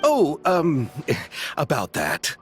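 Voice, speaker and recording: A man's voice: fairly high pitch, huge pitch swings, extremely slow, over music, heavily acted. A man voicing an earnest, romantic young film director in a video game, speaking in flowery, effusive phrases. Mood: sheepish. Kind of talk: video game character dialogue.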